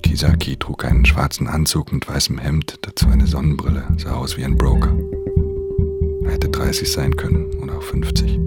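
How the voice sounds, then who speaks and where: An actor playing a wary, world-weary pickpocket in a radio play, terse, hushed and matter-of-fact in his telling. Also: a bass voice, level tone, moderate pace, gravelly. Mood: hushed, tense. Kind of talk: German radio play narration and dialogue. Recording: music under the voice.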